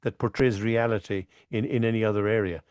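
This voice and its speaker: A man's voice: on the low side, steady tone, fairly quick, a little mellow, clean tone, no echo. A man from the west of Ireland with an Irish accent, talking as a podcast guest and repeating phrases for emphasis. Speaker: podcast guest, film expert. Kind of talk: conversational interview commentary.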